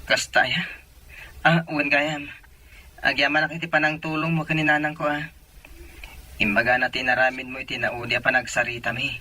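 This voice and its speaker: A man's voice: mid-range voice, flat delivery, a little fast, long pauses, pushed and bright. A man playing a young sweetheart on a phone call in an audio drama, with an Ilocano accent. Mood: concerned.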